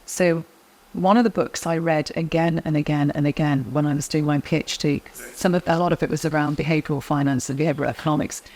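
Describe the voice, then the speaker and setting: A middle-aged woman, a guest in an interview, speaking in a quiet, measured way as she gives advice. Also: low voice, fairly even intonation, rough recording. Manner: soft-spoken, measured, advice-giving. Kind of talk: interview conversation.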